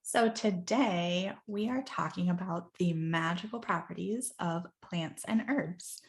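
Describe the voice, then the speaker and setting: Gravelly, stuffy-nosed woman podcaster. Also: lowish voice. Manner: chatty, quick, laughs often. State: stuffy nose from allergies. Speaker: podcast co-host. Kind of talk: casual chat and informal explainer.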